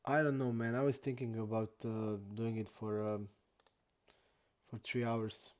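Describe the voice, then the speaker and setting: A young man from Croatia, not an English speaker, chatting casually and haltingly while streaming poker. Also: medium pitch, flat delivery, a little mellow, clear, resonant tone. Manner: halting non-native English, many restarts and fillers.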